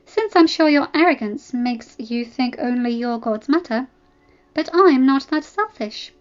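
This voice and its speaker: A woman's voice: high-pitched, expressive, a little slow, muffled recording, pitched above the performer's own voice. A woman doing a defiant, cutting young noblewoman. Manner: cold, defiant retorts.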